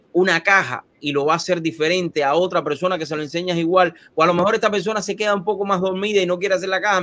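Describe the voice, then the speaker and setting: Male host, interview; a touch high, animated, picks up speed on his points. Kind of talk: interview conversation.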